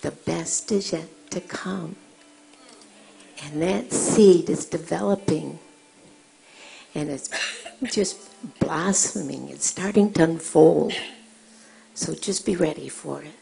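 A woman in the congregation with a low voice, soft-spoken and halting as she gives a prophetic word and prays. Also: creaky, slight background noise, low-quality recording. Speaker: congregation member.

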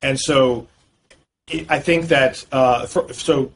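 A man's voice: mid-range voice, fairly even intonation, takes it slowly, vocal fry. Male guest, lawyer, explains at length, slight noise behind. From Boston, US. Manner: fluent, talks in long explanatory runs with frequent fillers. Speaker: guest, real estate lawyer. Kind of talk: podcast interview.

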